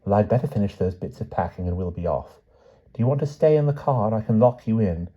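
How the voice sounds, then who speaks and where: A man's voice: lowish voice, fast, husky, voice pushed up. A man voicing an anxious, caring niece in an audiobook story, questioning in an urgent manner. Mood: practical, caring. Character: anxious, caring, inquisitive niece of a frail aunt. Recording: dull, muffled audio.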